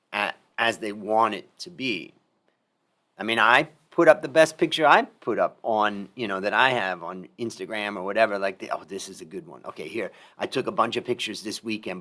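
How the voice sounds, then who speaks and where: A man's voice: lowish voice, bright, forceful tone. A middle-aged man hosting a podcast, talking in a reflective, emphatic way and repeating his key points. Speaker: podcast host. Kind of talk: casual interview talk.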